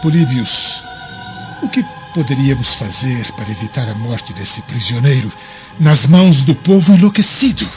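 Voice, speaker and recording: An actor playing a troubled, authoritative governor in a radio drama, speaking gravely and dramatically with a gravelly edge. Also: male voice, medium pitch, expressive, moderate pace, a little mellow, highs cut off, faint music behind, lightly acted. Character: troubled male Roman governor, authoritative, reluctant, admiring.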